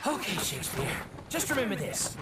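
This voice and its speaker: A man's voice: high voice, wildly swooping pitch, fairly quick, far from the mic, very noisy. A man voicing a brash, slangy, cowardly talking demon bird in a video game cutscene. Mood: cocky.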